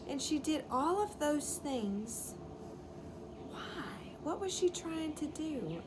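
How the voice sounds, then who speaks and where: Slow, high-voiced woman reading to children. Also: very animated, background noise. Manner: explanatory, asking simple questions. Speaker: storyteller, read-aloud teacher. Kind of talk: read-aloud for young children with questions.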